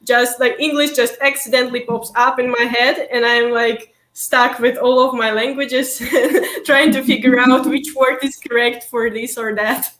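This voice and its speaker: Young woman student guest, hesitant and laughing, slightly high voice, echoey room. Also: steady tone, measured pace, hardly any pauses, a bit bright. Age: young adult. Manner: hesitant, many ums, laughs easily. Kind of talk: casual interview.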